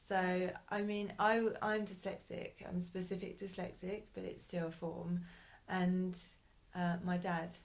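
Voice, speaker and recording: Woman in an interview, kinda low voice that stays pretty flat, thinks out loud, lots of pauses and restarts. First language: English. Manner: thoughtful, hesitant, with frequent pauses and restarts. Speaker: interviewee, bilingual parent. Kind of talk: casual interview conversation.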